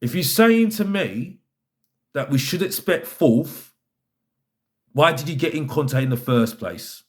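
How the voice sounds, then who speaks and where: A man's voice: on the high side, lively intonation, slow, pauses often. A man giving an opinionated football fan rant, exasperated and emphatic, repeating his phrases to drive points home. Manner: exasperated, fed up.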